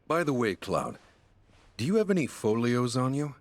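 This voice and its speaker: A man's voice: medium pitch, sing-song, moderate pace, lightly acted. A man voicing a polite, formal innkeeper in a video game, deferential and helpful. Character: courteous, formal male innkeeper, measured and helpful. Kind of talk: scripted video game dialogue.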